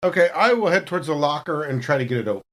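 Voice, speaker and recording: A man's voice: fairly high pitch, animated, very fast, fairly bright tone. A man playing a tabletop roleplaying game, speaking casually about what his character does.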